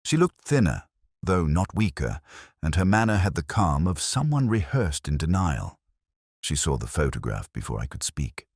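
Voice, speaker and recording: A man reading a first-person mystery story in a measured, reflective way. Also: extremely low pitch, sing-song, breathy edge, no room sound, studio quality. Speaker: narrator.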